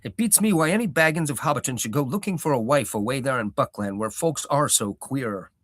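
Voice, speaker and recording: A man's voice: medium pitch, expressive, brisk, fairly bright tone, no echo. A man voicing an old male hobbit gossip with a sceptical, grumbling manner.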